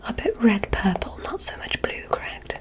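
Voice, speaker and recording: A woman voicing a curious, polite alien examiner in a whispered ASMR roleplay, speaking in a soft, deliberate, clinical manner. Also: on the high side, very animated. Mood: curious, gentle.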